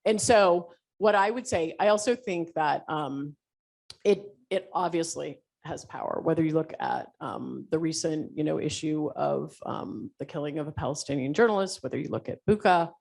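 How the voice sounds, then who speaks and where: A woman speaking as a panelist in a panel discussion, animated and rambling, with frequent fillers. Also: deep voice, moderate pace, vocal fry.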